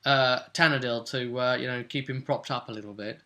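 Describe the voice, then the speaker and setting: A man's voice: medium pitch, steady tone, fairly quick, sharp tone. A man, a game master casually recounting a tabletop role-playing session in a chatty storytelling way. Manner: chatty, conversational storytelling with tag questions.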